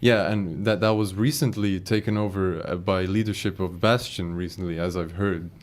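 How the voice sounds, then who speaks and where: A man's voice: sits low, fairly even intonation, moderate pace. A young man hosting a casual podcast, joking with his guests and steering the chat. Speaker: podcast host. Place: small podcast recording room. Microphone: studio microphone.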